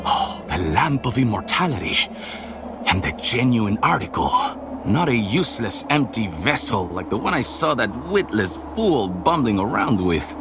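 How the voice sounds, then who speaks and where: An actor playing a self-important, condescending nobleman in a dark fantasy game, with a flowery, theatrical delivery. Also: on the low side, very animated, moderate pace, background noise. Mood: covetous, fascinated.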